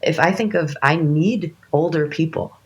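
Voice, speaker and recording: A woman's voice: deep voice, normal speed, muffled recording. Worship leader, late 30s woman, has a cold; loose, rambling conversational delivery. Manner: rambling, many restarts and fillers. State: fighting a cold. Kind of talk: casual conversation.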